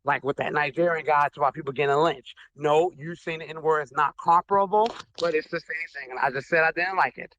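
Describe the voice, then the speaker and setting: A man's voice: a little high, brisk, few pauses, fairly bright tone, dull audio. A man taking part in an online audio debate, speaking firmly and earnestly with strong mannerisms.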